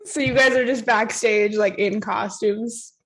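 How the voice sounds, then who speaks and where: A woman's voice: fairly high pitch, fairly even intonation, a little slow, a bit bright, poor audio. A woman interviewer asking loose, casual questions, filled with "like" and "you know".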